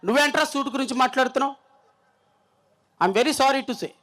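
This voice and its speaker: A man's voice: extremely high pitch, a little fast, a bit bright. A man with an Andhra Telugu accent delivering a fiery political speech from a stage, combative and threatening, hammering home phrases by repeating them. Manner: combative, threatening, emphatic repetition. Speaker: political speaker at a rally.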